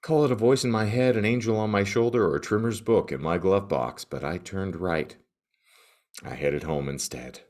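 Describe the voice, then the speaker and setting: A man hosting a podcast, reading comic text aloud in a mock-serious, theatrical and deliberate deadpan. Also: low-pitched. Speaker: podcast host.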